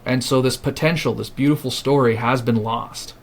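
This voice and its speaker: A man in a podcast interview speaks in an earnest, reflective way, his voice sitting at an ordinary middle pitch. He often doubles back and restarts a phrase while he builds toward his point. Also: steady tone, moderate pace, vocal fry. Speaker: publishing house founder, podcast guest.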